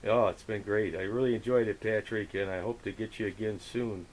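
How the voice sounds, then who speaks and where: Low-voiced man on a hissy radio. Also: fairly even intonation, fairly quick. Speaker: amateur radio operator running the frequency. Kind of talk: casual radio contact chat. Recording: hissy, noisy radio recording. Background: radio static hiss.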